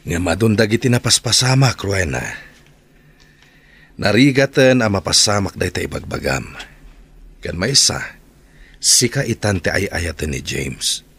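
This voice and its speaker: An actor with an Ilocano accent playing a loyal, protective friend, emphatic and exhorting, in a radio drama. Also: male voice, sits low, animated, measured pace, pauses often, a bit bright, slightly rough, heavily acted. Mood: persuading. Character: loyal, protective male friend, urging and scolding.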